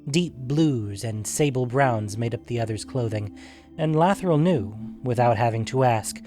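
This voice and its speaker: A man's voice: mid-range voice, animated, measured pace, dark tone. Man narrating a fantasy story over music.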